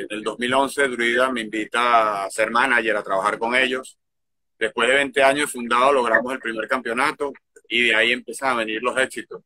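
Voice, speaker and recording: A middle-aged man from Venezuela with a Venezuelan accent mixed with Galician Spanish, answering interview questions as a baseball manager, relaxed and hesitant, recorded outdoors on a phone. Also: medium pitch, a little hiss or hum. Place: outdoors by a field.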